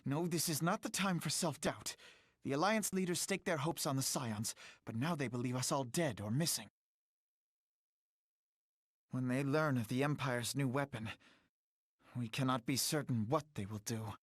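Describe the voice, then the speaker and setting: A man's voice: mid-range voice, moderate pace, pauses often, no room sound, studio quality, natural voice. A man playing an earnest, resolute young scholar leader in a video game, with formal, theatrical diction. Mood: grave resolve. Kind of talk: dramatic video game cutscene acting.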